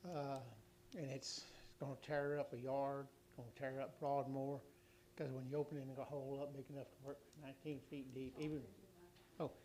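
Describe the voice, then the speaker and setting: A hoarse man reports to a city council in a rambling, halting way, full of restarts and fillers. His voice sits in an ordinary middle register. A faint hum runs underneath. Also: mid-range voice, little pitch movement, darkish tone. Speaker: city official giving a utility report.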